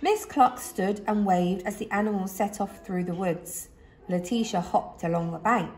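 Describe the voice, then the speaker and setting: A woman reading a children's story aloud expressively, like a teacher, with dramatic pauses and emphasis. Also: medium pitch, a little mellow.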